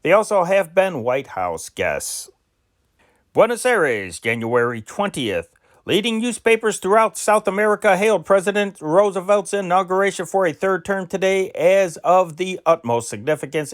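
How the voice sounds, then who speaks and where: Man, amateur newsreader, slightly high voice, steady deliberate reading, occasional self-corrections. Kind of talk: reading old newspaper dispatches aloud.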